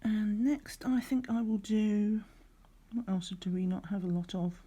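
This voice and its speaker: Slightly croaky lady rambling on while she crafts, relaxed, counts under her breath. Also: medium pitch, a little slow. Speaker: crafting vlogger. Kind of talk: relaxed chatty craft vlog. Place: at a craft table indoors.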